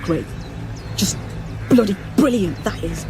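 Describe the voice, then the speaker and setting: A woman's voice: medium pitch, lively intonation, unhurried, music in the background, natural voice. Woman acting an intense, determined undercover policewoman. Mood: frustrated. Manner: intense, insistent, emphatic. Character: determined, frustrated undercover policewoman posing as nanny. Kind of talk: naturalistic modern radio drama acting.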